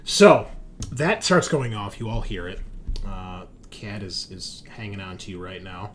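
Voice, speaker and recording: A man running a tabletop roleplaying game as game master, describing scenes in a halting, improvised way. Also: lowish voice, crowd noise. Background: background music.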